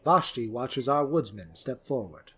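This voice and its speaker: A man's voice: medium pitch, sing-song, a little slow, bright, forceful tone, husky. A man narrating a scripted fantasy story as its storyteller.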